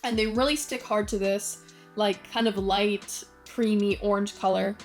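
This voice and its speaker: A woman's voice: medium pitch, steady tone, a little slow, faint music behind. A young woman vlogging casually about brand design, chatty and full of fillers, with self-deprecating asides.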